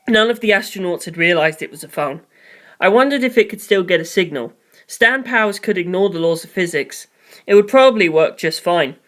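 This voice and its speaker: A man's voice: high voice, fairly bright tone. A man reading scripted first-person story narration.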